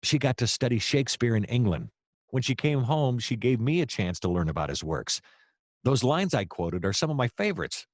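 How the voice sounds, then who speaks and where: An actor playing a wry, gallant private detective who narrates a noir radio play in dramatic, formal phrasing. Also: male voice, mid-range voice, big pitch swings, normal speed, no room sound, clean studio sound. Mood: earnest, gallant.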